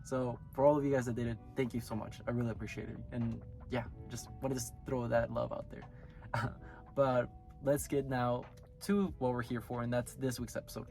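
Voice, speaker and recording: A man hosting his own anime review channel, talking casually while sick, so his voice comes out hoarse and raspy as he rambles and corrects himself. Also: mid-range voice, flat delivery, normal speed, a little mellow, faint music behind.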